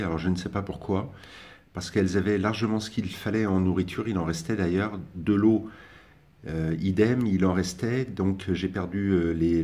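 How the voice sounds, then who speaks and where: Young man, very low voice, halting hobby commentary, lots of filler sounds. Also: mellow. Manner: hesitant, many "euh" fillers and restarts. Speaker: ant-keeping hobbyist vlogger. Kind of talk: casual spoken commentary over filming.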